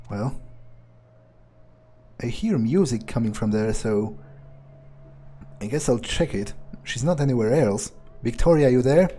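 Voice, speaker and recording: A man playing a jaded, impatient vampire in a video game, thinking aloud in a halting, profane, sardonic monologue. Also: medium pitch, warm tone. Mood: curious, dismissive. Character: jaded, foul-mouthed male vampire, contemptuous and impatient.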